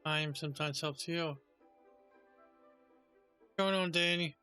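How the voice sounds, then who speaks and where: A man streams a game with casual commentary. His voice is bright and forward, a bit on the high side, with music behind. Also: steady tone, slow pace, clear, resonant tone. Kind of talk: casual live gameplay commentary.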